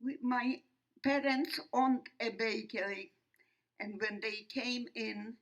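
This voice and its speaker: An elderly woman from Poland with a Polish accent, giving personal testimony in a solemn, deliberate, halting way with pauses. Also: a little high, steady tone, a bit bright, dull audio. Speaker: Holocaust survivor speaker. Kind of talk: personal testimony to young audience.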